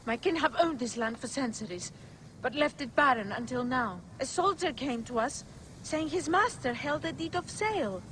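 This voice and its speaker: A resolute, guarded young farm daughter in a game drama. Her voice sits somewhat high and carries a bereaved gravity, with clear rumbling ambience underneath. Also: animated, normal speed, fairly bright tone, a little hiss or hum, low-quality recording. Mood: grim, resolute. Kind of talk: dramatic video game acting.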